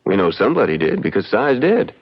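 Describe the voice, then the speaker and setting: A man playing a young frontier lawyer in an old radio mystery, folksy, shrewd and deliberate as he probes. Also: on the low side, wildly swooping pitch. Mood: reasoning. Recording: dull, band-limited old radio recording.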